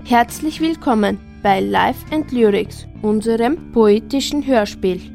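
A young woman, native speaker of Turkish and Kurdish, presenting a student radio feature clearly. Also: fairly high pitch, measured pace, darkish tone, soft background music.

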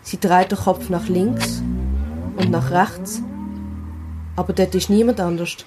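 A woman's voice: fairly low pitch, fairly even intonation, a little slow. A woman with a Swiss German accent narrating a children's story. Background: loud music.

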